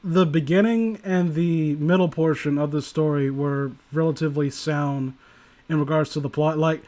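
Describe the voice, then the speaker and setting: A man's voice: fairly high pitch, steady tone, moderate pace, a little mellow. A man casually reading aloud and commenting, sardonic and prone to profane asides. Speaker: host reading and critiquing internet horror stories.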